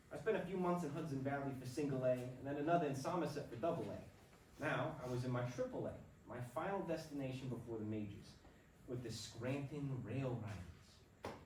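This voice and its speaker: A man's voice: a little high, a little mellow, lightly acted. A man playing an earnest, self-doubting young baseball player in a staged play reading. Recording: roomy, distant stage recording with clear noise.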